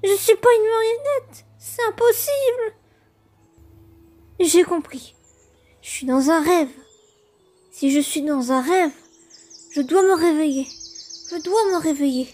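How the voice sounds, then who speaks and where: A woman's voice: extremely high pitch, lively intonation, takes it slowly, long pauses, darkish tone, exaggerated voice. A woman voicing a curious, easily bewildered puppet boy in an amateur comic dub, earnest and wondering. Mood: bewildered, panicked.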